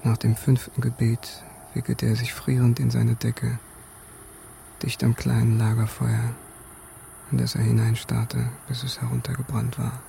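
A man's voice: medium pitch, completely flat delivery, unhurried, vocal fry, warm tone, a little hiss or hum. An actor playing a detached army sniper who recounts his kills in a matter-of-fact, clinical way, as radio drama narration. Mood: cold, detached. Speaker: narrator and main character.

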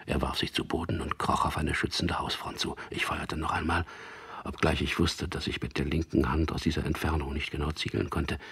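An actor playing a tormented, confused captured resistance fighter in a radio drama, hushed, halting and intense. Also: male voice, little pitch movement, exaggerated voice. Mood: tense, hushed recollection. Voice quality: very low, breathy, gravelly whispery voice. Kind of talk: radio drama acting with first-person narration.

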